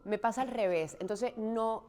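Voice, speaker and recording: A woman raised in Puerto Rico, an actress answering interview questions thoughtfully, often pausing with fillers. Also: on the high side, darkish tone. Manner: thoughtful, frequent restarts and "eh" fillers.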